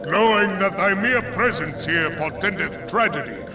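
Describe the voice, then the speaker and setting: An actor playing an ancient, stern thunder god and forest guardian in a video game, declaiming gravely in archaic, theatrical speech. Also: male voice, sits high. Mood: wrathful, condemning. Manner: grave, pronouncing, judgmental, deliberate. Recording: roomy, distant echoing voice under loud music.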